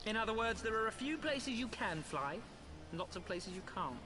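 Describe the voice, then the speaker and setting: A high-voiced, animated young-sounding engineer. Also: male voice, normal speed, music in the background. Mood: sheepish. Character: eager, showy airship engineer proudly presenting inventions. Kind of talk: scripted game cutscene acting.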